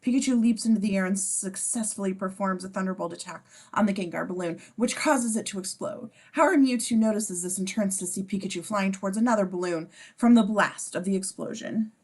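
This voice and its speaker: Woman narrating script directions at a table read, normal pitch, sarcastic asides, pretty casual. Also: a little fast, hardly any pauses, a little mellow. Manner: sardonic, casual. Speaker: stage-direction reader.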